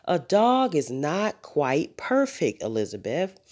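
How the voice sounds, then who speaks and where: A woman's voice: low-pitched, big pitch swings, takes it slowly, darkish tone, full character voice. A woman voicing a sleepy, befuddled father in a children's storybook, questioning and objecting. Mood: disapproving.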